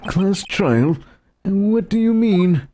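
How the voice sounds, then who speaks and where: A man's voice: high voice, expressive, extremely slow, mellow, pitched above the performer's own voice, heavily acted. A man voicing a grim, puzzled young male prosecutor in a video game. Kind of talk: reading visual novel game dialogue aloud. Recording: music playing behind the voice.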